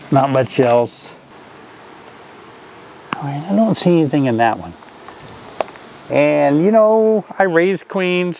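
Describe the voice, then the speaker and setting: A man's voice: fairly high pitch, creaky, a little hiss or hum, poor audio. An older man teaching beekeeping in a casual vlog, chatty and often asking viewers questions, recorded outdoors by a hive. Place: outdoors by a hive, then a covered porch.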